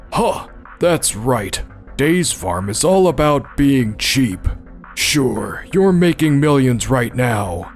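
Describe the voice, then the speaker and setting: A man's voice: on the high side, heavily acted. A man playing a scornful, pompous businessman father in a manga dub, blustering and lecturing. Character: scornful, pompous father, supermarket chief executive. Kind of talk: expressive manga dub voice acting.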